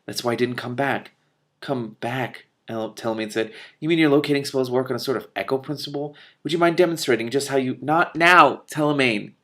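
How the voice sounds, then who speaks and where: A man reading a fantasy novel aloud, raising his voice for the characters' lines and breaking off for quick, lively comments and laughs. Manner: animated, laughs and swears in asides, quick mutters between passages; rises in pitch and volume for character lines. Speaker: reader and commentator.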